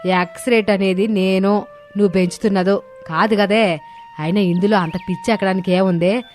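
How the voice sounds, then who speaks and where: A woman's voice: mid-range voice, steady tone, normal speed, darkish tone, natural voice. Lady doing a scolding older village mother in law for a cartoon story, nagging and colloquial, bit of music under it. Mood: scolding, puzzled. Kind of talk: animated moral story dialogue.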